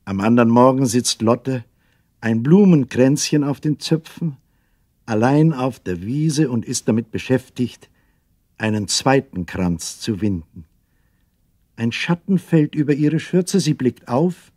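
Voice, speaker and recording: Husky male storyteller, dry sound. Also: medium pitch, lively intonation, a little slow, darkish tone, no room sound. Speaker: narrator. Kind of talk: scripted children's story narration.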